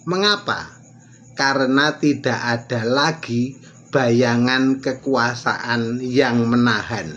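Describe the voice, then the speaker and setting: A man's voice: medium pitch, a bit bright, a little hiss or hum. A man narrating scripted political commentary, posing rhetorical questions and answering them himself. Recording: dull audio with faint music.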